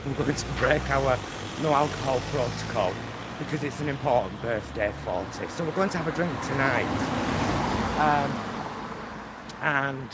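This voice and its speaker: A man chatting casually to his phone on a selfie stick while walking through his neighbourhood, rambling with lots of fillers. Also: mid-range voice. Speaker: vlogger. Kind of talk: casual walking vlog chat. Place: outdoors on a street in his neighbourhood. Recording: phone recording with street noise. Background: traffic and street noise.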